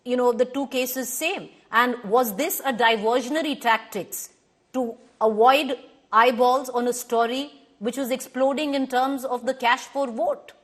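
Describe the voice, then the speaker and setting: A woman news anchor with an Indian English accent, moderating a TV debate in a firm, measured way and correcting guests. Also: fairly high pitch.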